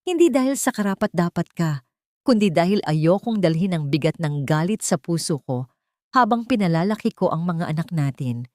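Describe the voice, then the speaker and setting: Woman playing a calm, resolute forgiving mother. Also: on the low side, moderate pace, no room sound, studio quality, natural voice. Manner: gentle, composed, resolute.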